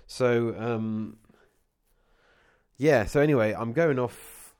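A man's voice: medium pitch, slow pace, darkish tone, smooth, no room sound, clean studio sound. A man vlogging with a cold and a fading voice, chatting casually to the camera and wandering off on tangents. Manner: meandering, with many restarts and asides. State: has a cold, losing his voice. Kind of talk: casual rambling chat to camera.

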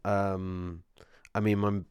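A man talks to the camera in a very low voice, with a cold wearing it down. The sound is dry and close. He rambles loosely and keeps losing his thread. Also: flat delivery, slow, a little mellow, clean tone, no echo. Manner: meandering, with many restarts and asides. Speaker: vlogger. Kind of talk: casual rambling chat to camera.

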